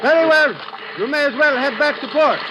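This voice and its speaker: Formal, high-voiced police lieutenant. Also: male voice, fairly quick. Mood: urgent. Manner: formal, precise, clipped orders. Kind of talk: 1950s radio acting. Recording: muffled, noisy old radio recording.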